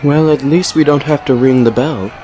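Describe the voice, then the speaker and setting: A sardonic, cocky vampire fighter, acted by a man in an audio drama. His medium-pitched voice taunts wryly, and the recording carries plain background noise. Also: moderate pace, dark tone, close to an everyday voice. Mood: wry, taunting. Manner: wry, taunting. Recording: clearly noisy background.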